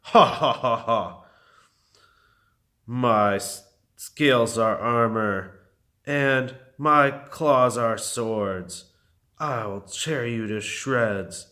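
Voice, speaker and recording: A man voicing a proud, wrathful male dragon in a fairy tale, boasting and threatening in a drawn-out delivery. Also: mid-range voice, pushed and bright, pitched below the performer's own voice. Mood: mocking menace.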